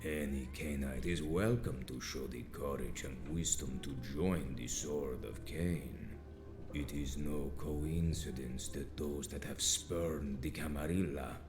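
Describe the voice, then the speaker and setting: An ancient, proud vampire lord speaks from the very bottom of the range. The delivery is stern and imperious. The voice rings in a roomy echo, with music playing behind. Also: male voice, rumbling low. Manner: slow, grave, formal and imperious. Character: ancient, stern male vampire sect elder, menacing and proud. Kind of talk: theatrical video game dialogue.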